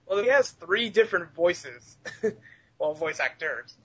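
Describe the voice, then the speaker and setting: A young man who voices fan dubs, chatting casually, giggly, sweary and excitable. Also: high voice, unhurried, slightly rough. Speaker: fan dub voice actor. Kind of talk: casual podcast chat. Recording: dull lo-fi recording.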